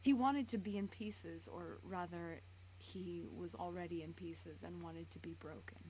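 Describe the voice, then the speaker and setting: A woman with a lowish voice reads fan fiction on a stream. Her narration is dramatic, broken by stumbles and casual asides, with clear noise in the background. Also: unhurried, a little mellow, poor audio. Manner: stumbles over words and rereads. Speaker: live stream reader.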